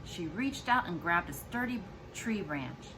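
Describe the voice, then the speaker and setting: A woman's voice: lowish voice, measured pace, noisy background. A woman, a mother, reading a children's storybook aloud expressively to her child. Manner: expressive, acts out dialogue and explains to child.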